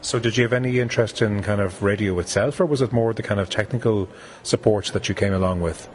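A man presenting a student radio show, asking guests steady, brisk questions. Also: on the low side. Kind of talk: radio interview.

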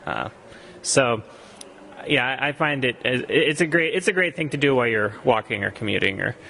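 A man's voice: mid-range voice, expressive, normal speed, vocal fry, bright, forceful tone, slight background noise. A young man from Costa Rica, an exchange student answering radio interview questions thoughtfully, with frequent ums and restarts. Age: young adult.

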